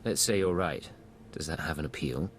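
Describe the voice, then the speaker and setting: An actor playing a suave, self-assured military agent in a video game, smooth-talking and controlled. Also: male voice, a bass voice, normal speed, soft background music. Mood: cool, confident. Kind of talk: video game dialogue acting.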